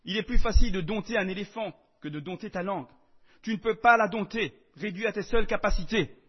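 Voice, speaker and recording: A man preaching a sermon in French, emphatic, swinging between loud declarations and soft asides. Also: high-pitched, a little fast, husky, dull audio.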